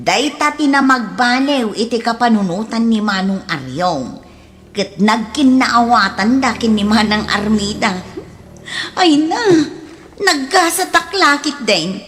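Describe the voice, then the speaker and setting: High, lilting doting mother. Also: animated, slow, fairly bright tone, slight background noise. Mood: fond, pleased. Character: gentle, doting mother, easily puzzled. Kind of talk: Ilocano radio comedy drama acting.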